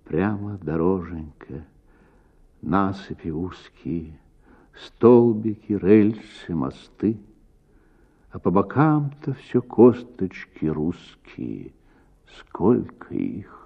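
Man as a sentimental young lady, slowly reciting verse. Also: low voice, very animated, creaky, husky, lo-fi sound, exaggerated voice. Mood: mournful. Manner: slow, heartfelt recitation. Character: sentimental educated young woman reciting poetry with feeling.

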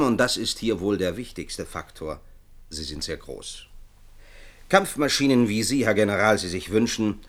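Businesslike minister, low pitch, argumentative, formal, radio play. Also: male voice, fairly bright tone. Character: objecting male government minister, businesslike and defensive. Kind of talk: German radio play acting.

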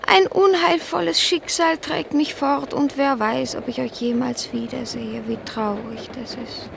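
A woman's voice: high-pitched, steady tone, unhurried, vocal fry, a little hiss or hum, heavily acted, rough recording. A woman voicing a haughty, grumbling, melancholy pet cat in a theatrical, self-dramatizing radio play manner. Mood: wistful, melancholy.

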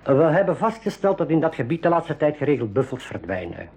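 Affable, husky game warden. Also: male voice, a little high, big pitch swings, a little fast, a little mellow, heavily acted. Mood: serious, explanatory. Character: affable, helpful male game reserve warden and guide. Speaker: game warden and guide in a radio play. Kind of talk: 1980s school radio drama acting.

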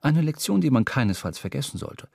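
A man narrating a literary radio drama as a storyteller, expressive and dramatic. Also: medium pitch, a little fast, vocal fry, slightly rough, no echo, clean studio sound. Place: dry studio.

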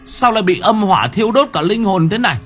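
A man playing an older male spirit master who scolds in a gruff, grave way. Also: sits high, expressive, quick pace, a bit bright, faint music behind, voice pushed up, full character voice. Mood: stern.

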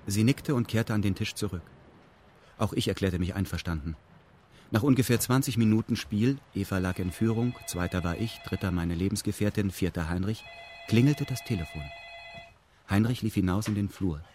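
An actor playing a detached narrator who recounts events in meticulous, matter-of-fact detail in a radio drama. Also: male voice, fairly low pitch, moderate pace, a little mellow, a little hiss or hum, lightly acted.

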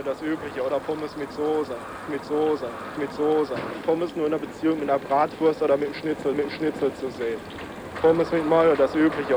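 Man, higher register, everyday talker with a pedantic turn of phrase, recorded on noisy old cassette. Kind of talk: casual reflective opinion in an audio collage.

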